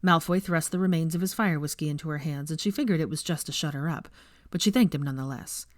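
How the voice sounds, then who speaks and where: A woman's voice: deep voice, fast, dry recording, studio quality. A woman reading scripted story narration as an audiobook narrator. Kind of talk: scripted narration of fan fiction.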